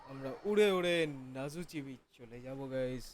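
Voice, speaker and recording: A man's voice: mid-range voice, expressive, rapid-fire, a little mellow, a little hiss or hum. A man giving chatty, casual gameplay commentary to his viewers, mixing in English words. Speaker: gaming streamer. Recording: game music behind the voice.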